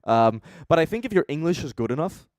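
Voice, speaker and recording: Clear-voiced, blunt man chatting casually. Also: fairly high pitch, sing-song, quick pace, darkish tone, dry recording. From Denmark. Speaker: co-host reviewing beatbox competition entries. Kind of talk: casual reaction chat.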